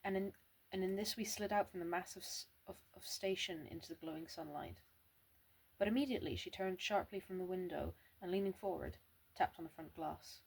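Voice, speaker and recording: A young woman reads a classic novel aloud at home, often tripping over words and starting them again. Her voice is husky and sits low for a woman. The delivery is plain and unpolished. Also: brisk, low-quality recording. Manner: stammers and restarts words, plain reading. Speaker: amateur reader.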